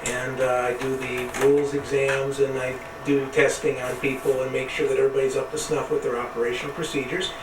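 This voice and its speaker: A man's voice: medium pitch, flat delivery, fairly quick, few pauses, over music. An American man explaining things conversationally as an interview guest, heard from a distance amid railyard noise. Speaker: interview guest, railway operations superintendent. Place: back platform of old passenger railcar. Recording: echoey distant pickup, noisy railyard behind. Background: loud passing train, railyard noise.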